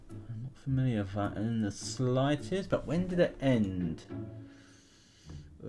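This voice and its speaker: A man's voice: mid-range voice, darkish tone. A man giving casual game commentary as a host, thinking aloud in questions and chatting to his viewers. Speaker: YouTube gamer host. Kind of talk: casual commentary while playing an online history quiz game.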